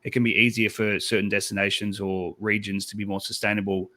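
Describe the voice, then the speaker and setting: A man's voice: fairly low pitch, flat delivery, a little fast, sharp tone. A man speaking as a panel guest on a video call, easygoing and thinking aloud. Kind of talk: casual panel discussion.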